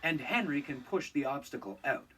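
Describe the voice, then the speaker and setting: A man's voice: on the high side, lively intonation, moderate pace, bright, forceful tone, husky, recorded from a distance, heavily acted, low-quality recording. A man voicing a no-nonsense railway foreman in a storybook, with a decisive manner.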